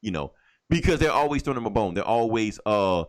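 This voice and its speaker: A man's voice: lowish voice, expressive, brisk. A man co-hosting a casual podcast about comics and movies, talking in an emphatic, opinionated way with plenty of swearing. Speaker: podcast co-host.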